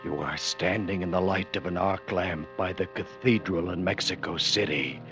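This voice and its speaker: An actor playing an ominous narrator in a deliberate 1940s radio suspense style, addressing the listener. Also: male voice, low voice, music in the background. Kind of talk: 1940s radio suspense teaser, second person. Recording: dull old radio recording.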